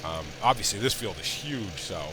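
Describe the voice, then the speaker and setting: A man's low, congested voice rambles along in easygoing let's-play commentary, swinging up and down in lively pitch as he wanders through asides. He sounds sick and stuffed up. A loud game engine drone sits right under him. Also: moderate pace, very noisy. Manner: rambling, chatty asides while playing. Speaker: gaming channel host.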